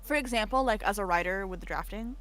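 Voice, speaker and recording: A woman talking casually on a livestream in an expressive, emphatic way. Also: mid-range voice, quick pace. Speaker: guest on a creative live stream. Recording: faint music behind voice. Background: faint music.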